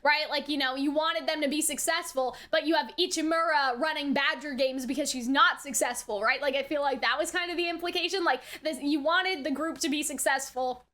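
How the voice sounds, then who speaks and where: Young woman rambling through an anime review, super high bright voice, slangy and kinda exasperated. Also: brisk, hardly any pauses. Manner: slangy, chatty, peppered with like and rhetorical checks; animated, exasperated teasing of characters. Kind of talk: casual, rambling episode recap and commentary.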